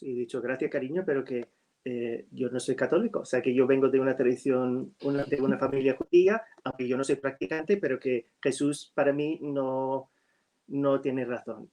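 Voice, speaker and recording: A man whose first language is English, a writer in a casual chat over a video call, speaking slowly and hesitantly with many fillers. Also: medium pitch, darkish tone. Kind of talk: casual conversation.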